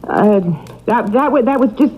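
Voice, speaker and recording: An actress playing a scheming, greedy, nagging wife, indignant and easily flustered, in a 1970s radio drama. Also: female voice, on the high side, moderate pace, a little mellow, breathy edge. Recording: noisy, thin 1970s radio recording.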